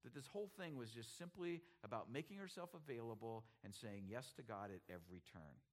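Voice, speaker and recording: A middle-aged man preaching a sermon, moving between hushed, quiet passages and loud, emphatic ones. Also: medium pitch, lively intonation, fairly quick. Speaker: pastor.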